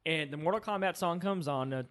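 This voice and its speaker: A man's voice: on the high side, fairly quick, a bit bright. A man co-hosting a film podcast, speaking in an informal, casual group chat.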